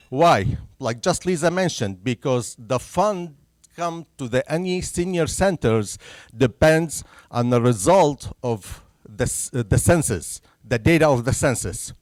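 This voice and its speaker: A man's voice: fairly high pitch, lively intonation, normal speed, a little mellow, no echo. A man from Syria with a Syrian Arabic accent, a native Arabic speaker, giving an earnest public information presentation at a senior center and checking in with his listeners after each point. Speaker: census presenter. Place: senior center meeting room, indoors.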